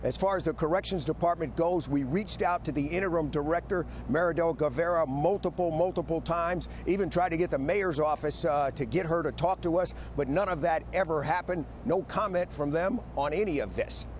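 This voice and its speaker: Male TV investigative reporter, American accent, fairly high-pitched, delivering broadcast news copy. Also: fairly quick, hardly any pauses, slight background noise.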